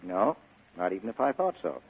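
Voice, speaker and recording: Radio noir lead: low husky private eye, blunt, clipped, tough, on muffled old broadcast. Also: male voice, very animated, fairly quick, heavily acted. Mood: dry, blunt. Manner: clipped, hard-boiled, insistent. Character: tough, blunt, wisecracking male private detective. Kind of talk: 1940s radio acting.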